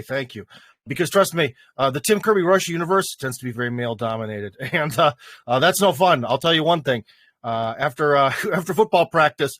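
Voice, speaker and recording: A man's voice: fairly high pitch, a bit bright, no room sound, studio quality. A man hosting a casual live stream, rambling with many fillers and chuckles. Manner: rambling, lots of fillers and laughs.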